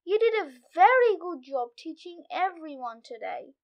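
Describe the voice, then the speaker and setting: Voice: very high-pitched, big pitch swings, unhurried, highs cut off, voice pushed down, heavily acted. A storybook reader voicing a patient, slightly fussy father frog in a children's comic. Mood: gentle, encouraging.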